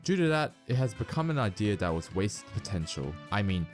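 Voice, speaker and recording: A man's voice: lowish voice, very animated, brisk. A young man reading scripted narration for an informal video essay. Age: young adult. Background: music behind the voice.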